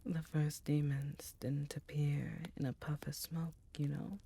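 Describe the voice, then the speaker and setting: A woman voicing an ancient succubus queen, tender and soothing, in a soft, breathy, intimate ASMR roleplay. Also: sits low, a little hiss or hum, full character voice. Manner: slow, intimate, reassuring.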